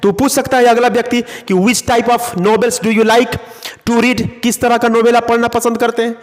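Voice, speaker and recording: A man teaching English phrases in a classroom lesson, slowly repeating them word by word. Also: extremely high pitch, flat delivery, in a large hall.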